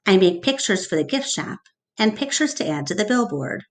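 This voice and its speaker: A woman teacher reading a storybook aloud to her class in a deliberate, expressive way, addressing the children directly. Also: mid-range voice. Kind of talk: storybook read-aloud narration with questions to children.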